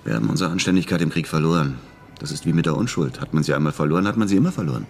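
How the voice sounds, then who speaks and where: An actor playing a cynical, flippant American war veteran in a German radio crime drama, speaking in a laconic, matter-of-fact way. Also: male voice, very deep voice, lively intonation, rapid-fire, gravelly, soft background music, full character voice. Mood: cynical, dry.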